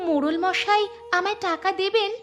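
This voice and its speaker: A woman plays a young village girl in a cartoon. Her voice is extremely high, earnest and plaintive, troubled yet determined. Music runs clearly behind the speech. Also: normal speed, a bit bright. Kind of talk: cartoon voice acting.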